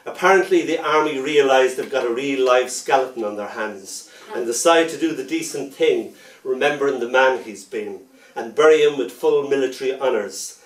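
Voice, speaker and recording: A man reading his poetry aloud, with a rhythmic, playful delivery that softens into gentle lullaby lines. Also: mid-range voice. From Ireland. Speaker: poet. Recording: roomy sound from a distant mic.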